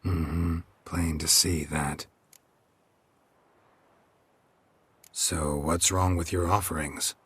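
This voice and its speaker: An actor playing a gruff, dry-witted monster hunter in a video game, with a husky, deadpan, laconic delivery. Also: male voice, deep voice, takes it slowly, full character voice. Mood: sardonic. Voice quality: husky, raspy. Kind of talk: video game character acting.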